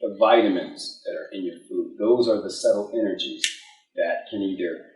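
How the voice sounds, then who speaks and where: A man's voice: sits low, a little mellow. Echoey far-off man leading a class. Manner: halting, repeats words. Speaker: lecture leader. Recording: echoey room, mic far off.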